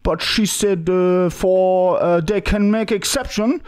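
A man's voice: sits high, takes it slowly, a little mellow, clean tone. A young man voicing a pushy foreign man with broken English on a prank call, full of hesitant fillers. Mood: pleading. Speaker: prank caller. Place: small studio room indoors. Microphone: podcast mic on boom arm.

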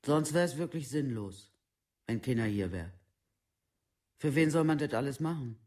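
A man's voice: mid-range voice, expressive, moderate pace, darkish tone, close to an everyday voice. A male actor playing a worn-down, devoted working-class mother, plain-spoken and resigned, in a documentary radio play. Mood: weary, resigned.

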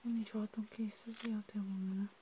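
Soft, mellow woman playing a vampire healer, noisy recording. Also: medium pitch, flat delivery, quick pace, natural voice. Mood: whispered. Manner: hushed, casual, drifting into whispers. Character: female vampire healer, calm, caring toward a wounded friend. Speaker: ASMR creator. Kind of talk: soft-spoken ASMR role play.

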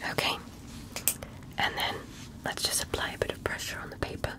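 A woman voicing a gentle alien medical examiner in an ASMR roleplay, soothing, reassuring and politely clinical. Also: moderate pace, close to an everyday voice. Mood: soothing, calm.

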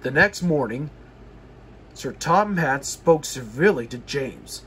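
A man reading a children's story aloud, often haltingly, repeating and correcting phrases. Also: medium pitch, expressive, fairly bright tone, a little hiss or hum. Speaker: storybook reader.

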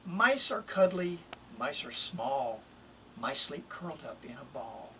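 A middle-aged man, a children's author, introducing and expressively reading a picture book in a deliberate, emphatic way. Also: medium pitch, very animated, creaky, bright, forceful tone. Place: small wood-panelled home office, indoors. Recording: distant mic, room noise.

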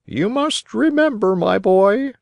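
A man's voice: high-pitched, animated, slow, creaky, no echo, pitched above the performer's own voice, heavily acted. A man voicing a large, kindly, wise pigeon in a storybook reading. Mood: kindly, reassuring.

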